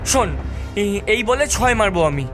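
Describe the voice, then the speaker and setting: A man's voice: sits high, big pitch swings, normal speed, over music. A man voicing a confident, scheming cartoon boy cricketer who bosses his teammates.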